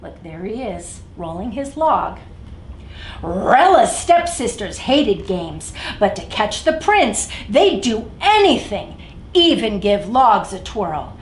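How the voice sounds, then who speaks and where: A woman's voice: medium pitch, very animated, slow. A woman hosting a children's story time, reading aloud expressively and speaking directly to young listeners. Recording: echoey room, faint background noise.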